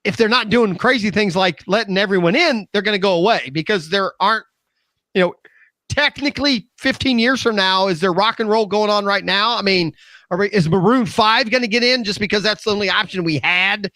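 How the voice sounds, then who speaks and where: An American man in his fifties chatting casually at a round-table talk show, with an animated, opinionated manner. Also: high voice, a little fast, no room sound. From Midwest United States. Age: 50s.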